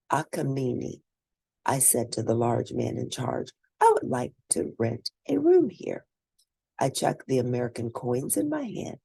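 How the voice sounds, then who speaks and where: Husky woman reading a story aloud. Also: very deep voice, mellow, no echo. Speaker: read-aloud narrator. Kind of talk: scripted storybook reading in first person.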